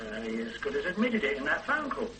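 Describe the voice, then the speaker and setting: An actor playing an earnest young police constable in a radio drama. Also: male voice, fairly high pitch, wildly swooping pitch, fast talker, pushed and bright, far from the mic, slight background noise, heavily acted.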